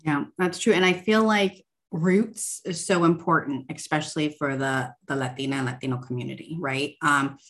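A woman's voice: sits low, normal speed, hardly any pauses, a bit bright. A woman hosting an interview, encouraging her guests and affirming them often. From United States. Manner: encouraging, frequent affirming backchannels. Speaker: interview host. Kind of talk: conversational interview.

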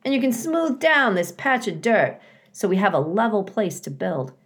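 A woman voicing a folksy, bossy but kindly male beaver builder in a children's storybook, chatty and gruff in manner. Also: medium pitch, sing-song, normal speed, voice pushed up. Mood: approving.

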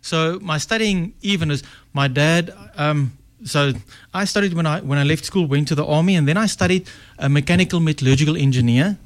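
A man's voice: a little high, fairly quick, hardly any pauses. A middle-aged man with an Afrikaans South African accent, a guest telling his story in a casual, reflective way. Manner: chatty, reflective, with self-corrections. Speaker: guest, success strategist. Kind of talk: casual interview talk.

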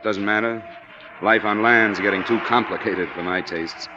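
An actor with an American accent playing a laconic, world-weary young ex-soldier, understated, in 1940s radio style. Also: male voice, lowish voice, steady tone, normal speed, noisy background, natural voice. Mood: weary, guarded. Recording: dull old radio, music behind.

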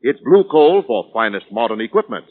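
Male announcer, golden-age radio: mid-pitched, sweeping intonation, formal and declamatory, thin phone-like vintage recording. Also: big pitch swings, brisk. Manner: formal, declamatory sponsor reading. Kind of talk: scripted 1940s radio announcing. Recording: tinny, narrow-band vintage radio recording.

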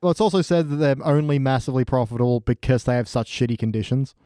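A man's voice: medium pitch, fast, dark tone, no echo, clean studio sound. A man talking as a podcast guest in a casual review, with a wry, conversational manner. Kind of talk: casual episode review chat.

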